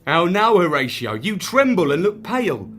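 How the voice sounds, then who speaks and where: Guy doing a jumpy storybook night guard, voice way up high, theatrical and swoopy. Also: sing-song, moderate pace, faint music behind, exaggerated voice. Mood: alarmed. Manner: loud, theatrical, archaic challenges. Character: jumpy, challenging palace night guard, male.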